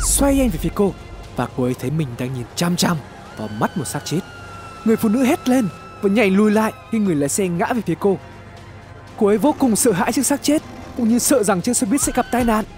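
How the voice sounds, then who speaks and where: High-pitched, animated male story narrator. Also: quick pace. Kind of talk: scripted dramatic horror story narration. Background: background music.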